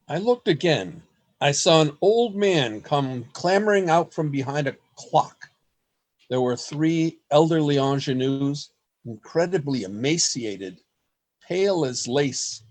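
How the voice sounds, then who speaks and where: A man playing an anxious writer narrating his secret diary in a radio play, in a deliberate storytelling manner. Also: on the high side.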